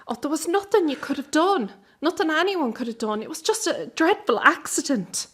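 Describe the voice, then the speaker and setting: A woman's voice: high-pitched, big pitch swings, moderate pace, a bit bright. A woman playing a caring, blunt young Irish granddaughter. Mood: consoling. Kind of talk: radio drama acting.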